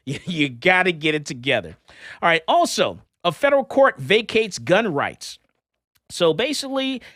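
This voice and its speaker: Animated emphatic male talk show host. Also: sits high, no room sound, clean studio sound. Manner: animated, emphatic, repeats points. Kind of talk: casual news commentary.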